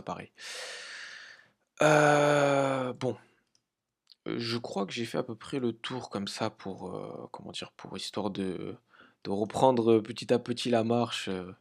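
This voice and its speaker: A man's voice: lowish voice, creaky. A man from France hosting a crypto trading channel, talking casually with many hesitations and light laughs. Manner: halting, many fillers, informal swearing. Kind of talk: casual market analysis.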